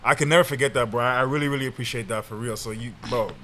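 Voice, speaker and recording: A male podcast co-host with a mid-range voice. He asks questions in a loose, halting way and uses plenty of slang. Faint noise sits in the background. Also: mid-range voice, steady tone, fairly bright tone. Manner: easygoing, hesitant questions with slang. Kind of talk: casual interview chat.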